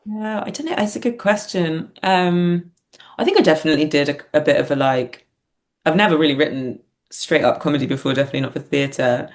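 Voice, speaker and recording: A woman's voice: sits low, brisk. A woman speaking casually as an interview guest, reflective and thinking aloud as she answers. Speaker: podcast guest, writer and performer.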